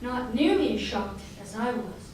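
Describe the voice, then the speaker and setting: A woman's voice: a little high, lively intonation, unhurried, darkish tone. Woman as a genteel estate owner, cavernous echo. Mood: genteel, troubled. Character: genteel wealthy male estate owner hosting a reunion. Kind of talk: old-time radio drama acting. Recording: very echoey hall, distant mic, faint noise.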